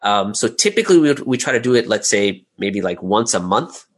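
Low-voiced man explaining casually, hesitantly. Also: sing-song, brisk, husky. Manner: informal, hesitant, often repeating words mid-thought. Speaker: interview guest, audio drama creator and business owner. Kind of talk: casual explanatory interview talk.

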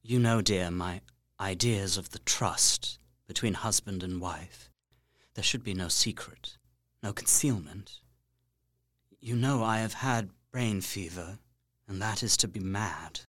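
An actor playing an ailing, solemn Victorian husband-to-be in an audio drama, speaking gravely and haltingly, with intimate earnestness. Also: male voice, on the low side, a bit bright, no room sound, studio quality. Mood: grave pleading.

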